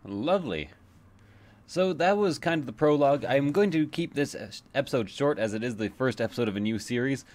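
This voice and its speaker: A man's voice: mid-range voice, expressive, fairly quick, a little mellow. A man giving casual let's play commentary, explaining the game and thinking aloud as he goes. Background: game music.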